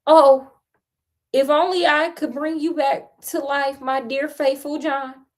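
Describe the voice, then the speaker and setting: Young fairy-tale king acted by a woman, earnest and forgiving, highly expressive, dramatic declaiming. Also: unhurried. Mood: grieving.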